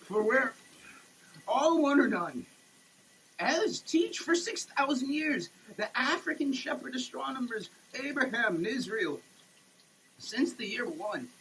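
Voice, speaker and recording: A man giving a dramatic, preachy reading with emphatic pauses, like a sermon. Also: very high-pitched, very animated, a bit bright, slight background noise, poor audio. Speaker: sketch performer. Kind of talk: dramatic reading of a soap label, declaimed like a sermon. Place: shower, per the page.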